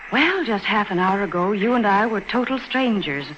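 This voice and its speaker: A woman playing a gentle, earnest and curious young woman in an old-time radio drama, speaking politely. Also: mid-range voice, normal speed, close to an everyday voice. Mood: shy, earnest. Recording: muffled, noisy archival radio recording.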